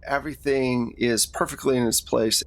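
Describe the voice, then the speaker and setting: A man narrating a tabletop horror game as game master, deliberate and descriptive in a conversational way. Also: medium pitch, steady tone, dry recording.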